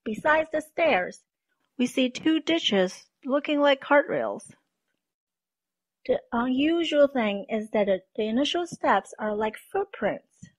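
A woman presenting scripted documentary narration in a measured, explanatory manner. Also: high voice, animated.

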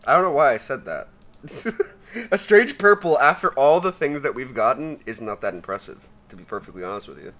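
A young man with a raspy voice giving casual, profane commentary while opening loot, swinging between mumbling and shouting. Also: medium pitch, very animated, brisk. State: raspy from sneezing. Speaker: gaming YouTuber.

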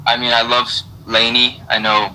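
A young man answering interview questions on a video call, relaxed and hesitant with many fillers. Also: mid-range voice, moderate pace, a little hiss or hum, rough recording. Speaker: guest musician. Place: indoor room.

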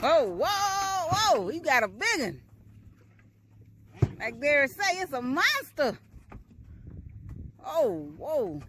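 A woman's voice: high voice, very animated, takes it slowly, pauses often, a bit bright. A woman vlogger on a fishing boat, chatting and laughing playfully with the others. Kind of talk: casual chat while fishing. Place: outdoors on a boat at sea.

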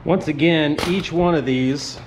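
A man's voice: on the high side, unhurried. A man casually narrating as he collects money from his laundromat, talking to viewers in an offhand running commentary. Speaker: laundromat owner filming a vlog. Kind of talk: casual vlog narration while working. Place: small indoor laundromat. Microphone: head or chest-mounted action camera. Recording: noisy action camera audio with clicks.

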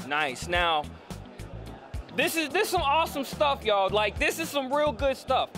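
A man in his thirties hosting a casual convention interview into a handheld mic, slangy and animated, repeating phrases for emphasis. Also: extremely high pitch, unhurried, music in the background. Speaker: show host interviewer. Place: indoor convention hall booth. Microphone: handheld microphone.

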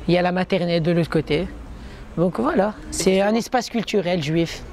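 A man's voice: high-pitched, moderate pace, darkish tone, a little hiss or hum. A seventeen-year-old boy from Morocco, answering an interview earnestly and repeating words. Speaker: student. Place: courtyard at night. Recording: crowd chatter behind. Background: people chatting.

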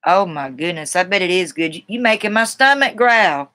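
A woman's voice: lowish voice, animated, moderate pace, pushed and bright. A middle-aged woman with a Carolina Southern accent, chatting casually with viewers in a rambling, folksy, colloquial way, recorded on a webcam in a room at home. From South Carolina, United States. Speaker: livestream host. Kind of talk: casual chat, responding to viewers. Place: small room at home, indoors.

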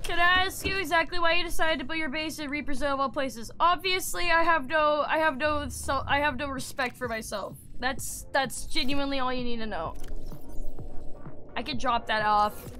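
Sick, excitable streamer woman, high bright voice. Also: normal speed. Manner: excitable, swears, shrieks when startled. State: sick, losing her voice. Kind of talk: casual gameplay streaming chat. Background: faint game music.

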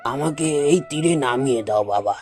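A man playing a kindly, fatherly old beggar in a cartoon fairy tale, speaking slowly and gently. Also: mid-range voice, faint music behind, natural voice. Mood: kindly, concerned.

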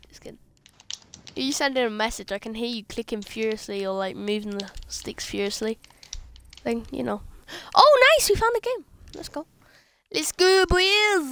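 A woman chatting and joking while playing a shooter game, getting loud and excited in fights. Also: high-pitched. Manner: chatty, jokey, shouts excitedly. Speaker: gameplay streamer. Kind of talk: casual gameplay chat.

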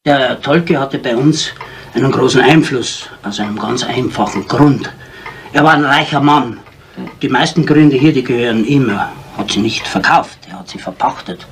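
A man's voice: mid-range voice, moderate pace, lightly acted. An actor playing a matter-of-fact local man in a 1970s radio crime drama, explaining things in a folksy way. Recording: faint hiss on old radio recording.

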